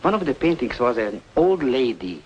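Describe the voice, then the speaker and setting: A man's voice: mid-range voice, sing-song, normal speed, highs cut off, a little hiss or hum, natural voice. A man playing a helpful, talkative small-town art shop owner, musing aloud in an old-time radio drama. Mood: reminiscing.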